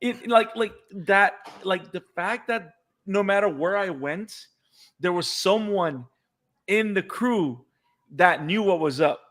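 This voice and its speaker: A man from Puerto Rico, a native Spanish speaker with a Puerto Rican accent, talking excitedly as a podcast co-host in casual chat. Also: sits high, slow pace. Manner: excited, repeats words when fired up.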